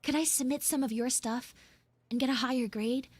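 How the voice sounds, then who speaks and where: A woman's voice: high voice, fairly even intonation, normal speed, darkish tone, no echo. A young woman playing a flustered, pleading tsundere schoolgirl in a live roleplay, rambling and stammering dramatically. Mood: whiny, desperate. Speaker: live audio roleplay streamer. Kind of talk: improvised live character roleplay.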